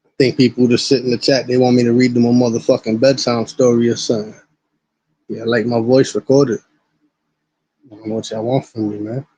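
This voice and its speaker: A man with a raspy smoker's voice, rambling casually and profanely to his live-stream chat while high. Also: flat delivery, brisk, dark tone, no echo. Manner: laid-back, rambling, profane. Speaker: livestreamer. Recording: dull lo-fi stream audio.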